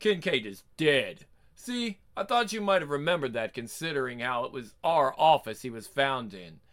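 A man's voice: mid-range voice, very animated, normal speed, fairly bright tone. Man acting out a brash, sarcastic police detective. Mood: sardonic, heated. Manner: sardonic, wisecracking. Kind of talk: comic book dramatic reading.

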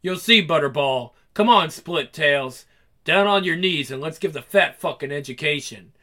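A cruel, gloating comic-book villain, performed by a man with a high, piercing voice. He threatens and taunts crudely, relishing his power over everyone listening. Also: animated, moderate pace, bright, forceful tone. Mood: taunting. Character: sadistic foul-mouthed villain possessing a woman's body.

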